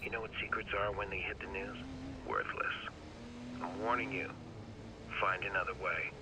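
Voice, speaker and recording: A low-pitched, sardonic blackmailer acted by a man, chummy on the surface and threatening underneath. It is video game villain acting, taunting and smug, heard on a call over clear car noise. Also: steady tone, normal speed, lightly acted. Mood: stern threat.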